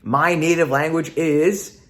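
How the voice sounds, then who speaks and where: A man's voice: fairly high pitch, steady tone, slow pace, fairly bright tone. A young Canadian man with a North American accent, a native Italian speaker, talking earnestly to camera in a casual vlog with lots of "uh" fillers. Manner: earnest, repetitive, frequent "uh" fillers. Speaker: vlogger.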